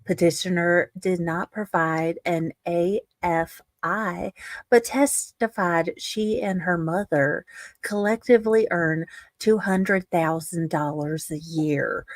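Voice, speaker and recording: A woman with a slightly low voice reads legal text at a slow pace as a co-host. She keeps it casual and theatrical, with salty, irreverent commentary mixed in. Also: no room sound. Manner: irreverent, salty commentary between read passages. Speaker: co-host reading a court ruling aloud. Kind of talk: casual, joking dramatic reading of a legal document.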